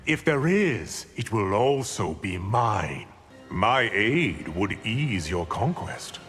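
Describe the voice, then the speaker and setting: Haughty evil sorcerer, wildly swooping delivery. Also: male voice, lowish voice, sing-song, fairly bright tone, full character voice. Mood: arrogant menace. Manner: haughty, theatrical, deliberate. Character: scheming male evil sorcerer, arrogant and scornful. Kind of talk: video game villain acting. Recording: long hall reverb, music behind.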